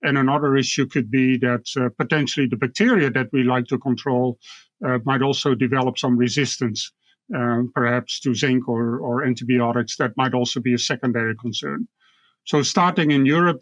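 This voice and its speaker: A man with a Dutch accent explaining things as an expert guest in an interview, measured and halting, often repeating words. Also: mid-range voice, dry recording, dull audio. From Netherlands. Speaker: guest expert, university professor.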